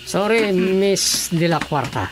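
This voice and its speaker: An actress playing a polite, watchful village councillor with a Filipino accent in a radio drama. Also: female voice, lowish voice, expressive, slow pace, noisy background. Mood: firm.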